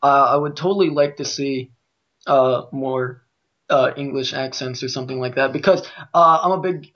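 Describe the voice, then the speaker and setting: A man's voice: medium pitch, moderate pace, fairly bright tone, muffled recording. A man with a Midwestern American accent talking casually as host of a podcast discussion. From Midwest United States.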